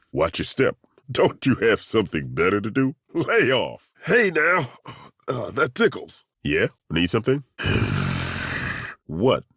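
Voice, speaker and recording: An actor playing a gruff, folksy middle-aged male dwarf fighter with a dry, wry, plainspoken way of talking, in video game voice acting. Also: mid-range voice, fairly bright tone, no room sound, heavily acted. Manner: dry, wry and plainspoken, with roaring battle cries. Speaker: party companion.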